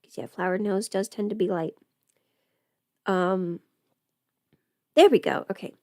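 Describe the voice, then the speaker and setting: A woman's voice: medium pitch, steady tone, measured pace, warm tone, no echo, lightly acted. Woman playing a chatty girl streamer doing makeup, rambly n playful, drops into whispery asides, super dry room sound. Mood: focused, chatty. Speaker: livestreamer doing a get-ready-with-me makeup stream. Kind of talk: casual livestream chat, scripted audio roleplay.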